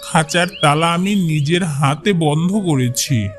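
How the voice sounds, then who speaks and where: Man acting a slow-talking, stern village farmer. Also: on the high side, faint music behind. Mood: stern warning. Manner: firm, admonishing. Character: poor male village farmer, wary and stern. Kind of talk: cartoon fable character voice.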